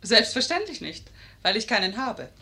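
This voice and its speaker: A husky woman's voice with lively, swooping intonation a proud, scheming lady in a 1950s radio comedy. She is witty and bold, teasing as she spars. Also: fairly high pitch, moderate pace, heavily acted. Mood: cool, mocking. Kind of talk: 1950s German radio play acting.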